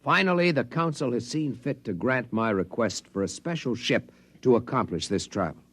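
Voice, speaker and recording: An actor playing a devoted visionary scientist in 1950s radio drama style, earnest and declamatory. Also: male voice, medium pitch, normal speed, a bit bright, dry recording, natural voice. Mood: solemn, determined. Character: devoted visionary male scientist of a tiny underwater race.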